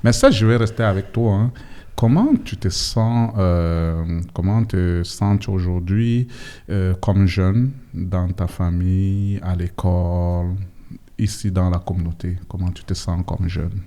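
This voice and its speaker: A man with a deep voice hosts an interview podcast. His tone is dark and mellow, and he puts questions informally, often checking back with a short tag. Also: a little slow. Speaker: host.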